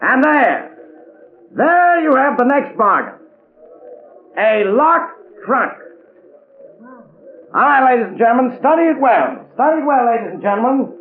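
An actor playing a jovial charity auctioneer with showy, coaxing patter in a 1940s radio drama. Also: male voice, very high voice. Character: jovial, fast-patter male charity auctioneer working a crowd. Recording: tinny, noisy 1940s radio recording.

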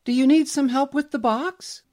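A man voicing a warm, cheerful young mother in a story, with a kindly sing-song manner. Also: very high voice, moderate pace, voice pushed up. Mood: calling, warm.

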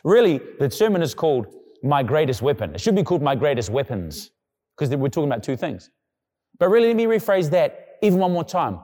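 A male pastor preaching a sermon in a conversational way, now and then acting out quoted people in a lower, quieter voice. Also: in a large hall.

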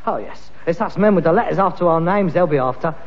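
In a dull, echoing vintage sitcom recording, an exasperated working-class Cockney son with grand ideas of himself. The voice sits high as he nags and pontificates. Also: expressive, fast. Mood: self-important. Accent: London Cockney. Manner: nagging, pompous. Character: exasperated, self-important working-class London son with aspirations. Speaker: sitcom actor. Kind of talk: vintage British sitcom acting. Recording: dull, noisy, reverberant archive sitcom recording.

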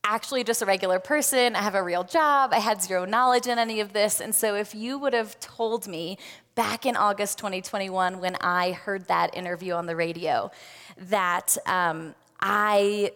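A woman's voice: medium pitch, moderate pace, fairly bright tone. A woman giving a personal testimony as a guest at a church service, earnest and conversational with frequent fillers. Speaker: guest speaker, nonprofit volunteer. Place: church sanctuary. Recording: long hall reverb.

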